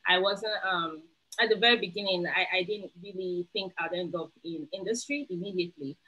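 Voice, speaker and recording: A young Nigerian woman with a Nigerian accent and a bright, ringing tone, speaking over a video call. She gives emphatic, encouraging advice as a webinar panelist. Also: lowish voice, a little fast, lo-fi sound.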